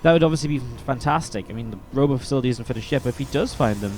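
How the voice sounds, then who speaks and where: A man commenting play by play on a strategy video game match, a little sniffly from a cold, steady and then more excited in the fights. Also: mid-range voice, very fast, slight background noise. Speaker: esports caster.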